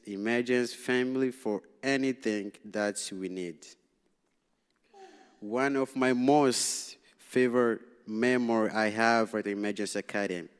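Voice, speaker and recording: A young man from Tanzania whose first language is Swahili, reading a prepared speech as a student representative at a public meeting, halting and nervous, with frequent restarts. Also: lowish voice, smooth. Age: young adult. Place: large city hall meeting room. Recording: long reverb in a council chamber.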